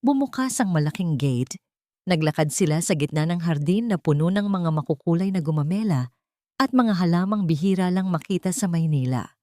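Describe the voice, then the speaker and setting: A woman's voice: lowish voice, animated, normal speed, warm tone, dry recording, studio quality. A woman storyteller reading scripted fiction in a dramatic narration style.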